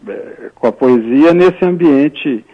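A man's voice: a little high, slow. Hesitant male writer on dull radio. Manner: thoughtful, hesitant, many fillers. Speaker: writer, interview guest. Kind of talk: radio interview.

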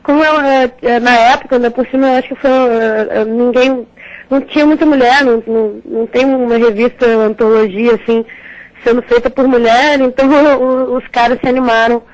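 A woman's voice: fairly high pitch, fairly even intonation, brisk, rough recording. A woman with a Capixaba accent, a magazine editor answering interview questions in a chatty, giggly way with many restarts. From Rio de Janeiro.

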